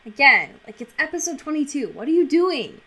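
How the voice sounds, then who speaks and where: A woman co-hosting a podcast in casual banter, playful, slangy and quick to swear. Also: high-pitched, big pitch swings, bright, forceful tone. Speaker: podcast co-host.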